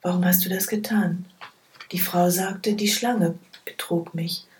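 A woman reading Bible passages aloud in a soft, hushed, measured way. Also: fairly low pitch, steady tone, a little hiss or hum. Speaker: scripture reader. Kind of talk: slow, quiet Bible reading.

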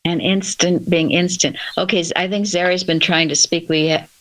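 A woman's voice: fairly low pitch, steady tone, moderate pace, fairly bright tone, poor audio. A woman leading a religious group discussion, speaking in an instructive, emphatic manner. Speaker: discussion leader.

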